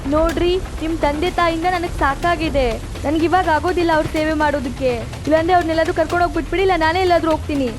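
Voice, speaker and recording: A woman's voice: extremely high pitch, steady tone, quick pace. A woman voicing an impatient, spiteful daughter-in-law who complains sharply in a moral story. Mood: fed up, scornful.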